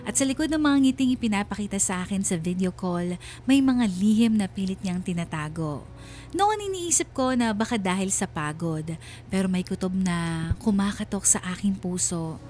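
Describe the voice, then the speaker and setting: An actress playing a troubled, pensive wife who narrates her letter in a radio drama, measured and sorrowful, with a Filipino accent. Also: medium pitch, expressive, darkish tone, lightly acted. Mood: wistful, troubled. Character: wistful, uneasy wife narrating her letter about family abroad. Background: music.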